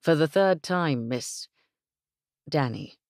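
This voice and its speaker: A woman voicing a polite young English gentleman working as a ranch hand, with formal, courteous speech. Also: low voice, animated, takes it slowly, no echo, studio quality.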